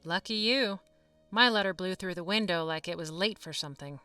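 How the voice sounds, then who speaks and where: Fantasy drama student, played by a woman: sharp-tongued teen girl, teasing and wry, bright delivery, a little distant. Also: lowish voice, fairly quick, clear, resonant tone, dry recording, soft background music. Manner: wry, teasing, deadpan humor. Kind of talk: fantasy audio drama acting.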